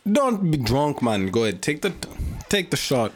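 A man's voice: on the high side, sing-song, moderate pace, a little hiss or hum. A man putting on a goofy mock Jamaican voice during drunken podcast banter, drawling and repeating "man". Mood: laid-back. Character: man in a mock Jamaican accent, laid-back.

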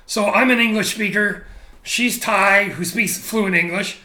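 A man being interviewed, talking casually and candidly about his career, animated and blunt with frequent restarts. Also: high-pitched, unhurried, echoey room. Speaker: interview guest, photographer. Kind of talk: casual conversational storytelling.

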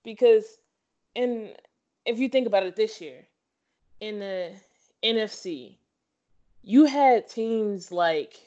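A woman's voice: mid-range voice, muffled recording. Opinionated woman co-hosting a sports podcast. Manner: opinionated, emphatic repetition. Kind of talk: casual sports chat.

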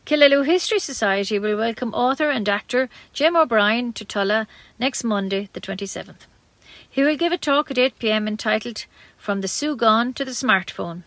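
A woman's voice: on the high side, a bit bright, no room sound. Irish woman reading local news, close dry sound. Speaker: community radio newsreader.

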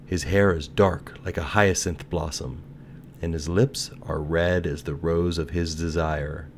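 A man's voice: low-pitched, steady tone, unhurried, a little hiss or hum. A man voicing a small female nightingale, earnest and tender, in a storybook telling. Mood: admiring, tender.

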